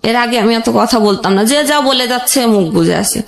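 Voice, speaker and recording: High-voiced young Bangladeshi woman telling her story. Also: moderate pace, gravelly. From Jashore region, Bangladesh. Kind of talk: personal storytelling in conversation. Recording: roomy echoing sound.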